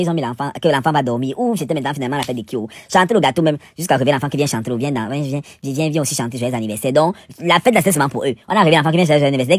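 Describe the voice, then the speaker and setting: Husky, very fast-talking Cameroonian man. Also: medium pitch, dry recording, clean studio sound. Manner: theatrical, exclamatory, playfully indignant, with repetitions and interjections. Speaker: cooking vlogger and narrator. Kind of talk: casual, chatty storytelling voice-over.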